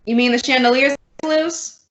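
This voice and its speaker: A wisecracking schoolmarm acted by a woman from a radio comedy, dry and sardonic in her delivery. Her voice is high and bright, in a roomy recording. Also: fairly even intonation, moderate pace. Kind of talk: old-time radio comedy acting. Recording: roomy, dull lo-fi sound.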